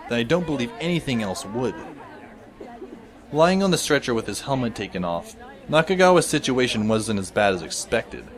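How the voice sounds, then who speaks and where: A man's voice: mid-range voice, natural voice. A man playing a wry, observant teenage schoolboy who narrates an audio drama in a wordy, descriptive way. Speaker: first-person narrator. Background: music behind the voice.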